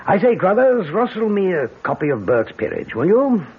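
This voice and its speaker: An actor playing an older, suspicious British police inspector in a radio drama, musing in clipped old-fashioned phrases with a gravelly voice. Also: male voice, high-pitched, very animated, moderate pace, exaggerated voice. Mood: musing, wary. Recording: tinny, hissy old radio recording.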